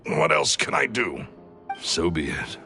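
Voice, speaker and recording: An actor playing a bitter, greedy, unrepentant middle-aged magician who sneers theatrically in a video game. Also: male voice, medium pitch, sing-song, unhurried, vocal fry, pushed and bright, soft background music, heavily acted. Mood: resigned, defiant. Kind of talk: dramatic game voice acting.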